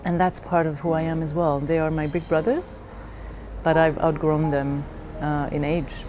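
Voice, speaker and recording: A middle-aged woman from Belgium telling her personal story in a reflective way, haltingly searching for her words. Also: low voice, level tone, a little mellow, soft background music. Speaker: leadership coach.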